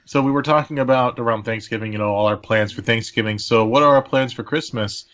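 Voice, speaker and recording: A man hosts an informal podcast conversation. His voice is of ordinary pitch for a man. The recording sounds a little dull and lo-fi. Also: fast talker, a bit bright. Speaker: podcast host. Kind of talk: casual chat. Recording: slightly dull, lo-fi audio.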